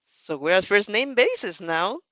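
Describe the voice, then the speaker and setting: A woman's voice: medium pitch, big pitch swings, a little slow, fairly bright tone, dry recording, highs cut off. A woman voicing a bitter, insecure teenage boy who is defensive and mocking, in an audio fanfiction reading. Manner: mocking, muttered, venomous.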